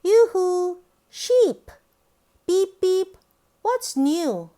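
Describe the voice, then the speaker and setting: A woman reading a picture book aloud to young children in a sing-song, exaggerated, deliberate way. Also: very high-pitched, expressive, mellow.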